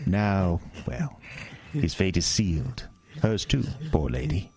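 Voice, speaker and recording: A man's voice: deep voice, sing-song, takes it slowly, gravelly, darkish tone, breathy edge, heavily acted. A man playing a sinister carnival master in a horror audio drama, speaking with false comfort, theatrical condescension and cool menace. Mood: coolly gloating. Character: sinister, smooth-talking carnival master luring a boy, coolly menacing.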